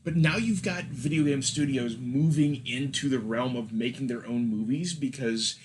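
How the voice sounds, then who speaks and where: A man with a mid-pitched voice hosts an informal podcast discussion in a conversational style. There is faint background noise in the recording. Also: moderate pace, rough recording. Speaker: podcast host. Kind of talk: casual moderated discussion.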